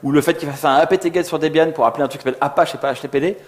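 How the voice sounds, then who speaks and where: A man's voice: on the high side, steady tone, very fast. A man giving an informal technical conference talk in a slangy, conversational way, often acting out little dialogues. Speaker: conference co-speaker, software developer.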